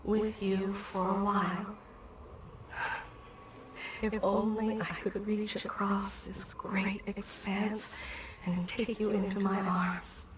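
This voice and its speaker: An actress playing the gentle spirit of a dead mother in a video game, speaking tenderly and with care. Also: mid-range voice, flat delivery, slow pace, pauses often, lightly acted. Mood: tender, wistful. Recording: echoing, distant, with faint noise.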